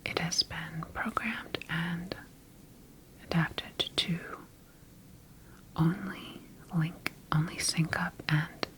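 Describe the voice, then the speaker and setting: A woman playing a clinical sci-fi scientist in a whispered ASMR roleplay, dictating observations in a measured, breathy voice. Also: low-pitched, fairly even intonation, fairly bright tone, exaggerated voice, low-quality recording. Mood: calm, observant. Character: clinical female sci-fi scientist studying her engineered creature.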